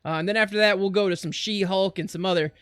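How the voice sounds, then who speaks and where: High-voiced man casually hosting a livestream. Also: fairly even intonation, fast talker, a bit bright, smooth, no echo. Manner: jokey, rambling, self-interrupting. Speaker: livestream reaction show host.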